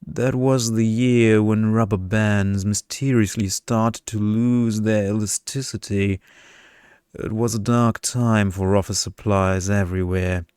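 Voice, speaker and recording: Male narrator as a young lady in a vampire romance, mischievous under duress, unhurried and clear, very dry close sound. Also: fairly low pitch, steady tone, darkish tone, dry recording, lightly acted. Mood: sly.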